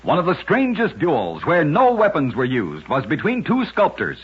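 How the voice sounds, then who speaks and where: A dull, lo-fi old radio recording carries a man's markedly husky voice, pitched on the high side. He narrates short scripted tales as the host, measured and emphatic. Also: huge pitch swings.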